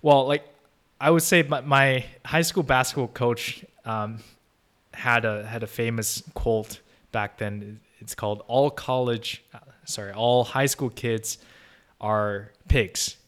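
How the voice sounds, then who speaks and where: A man's voice: mid-range voice, fairly even intonation, slow, a bit bright. A young man whose first language is Chinese, talking casually as an interview guest, thoughtful and full of restarts. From China. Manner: thoughtful, many restarts and fillers. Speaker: podcast guest.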